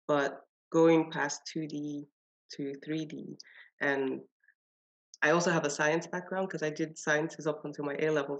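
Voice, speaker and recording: A woman with a Nigerian accent, a designer and artist, telling her story in a podcast interview with thoughtful pauses and light laughs. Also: low-pitched, muffled recording. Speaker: guest, industrial designer and artist.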